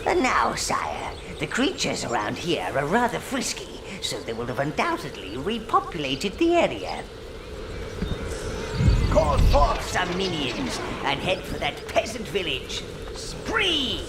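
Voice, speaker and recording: Creaky old evil minion servant for a game, super swoopy drawl, sucking up but sarcastic, noisy behind. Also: male voice, a little high, huge pitch swings, measured pace, exaggerated voice. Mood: fussy, wry. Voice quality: creaky, gravelly. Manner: theatrical, obsequious, drawling. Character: old goblin-like minion adviser, sardonic, fawning, gleefully evil servant. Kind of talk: game character dialogue, advising and instructing.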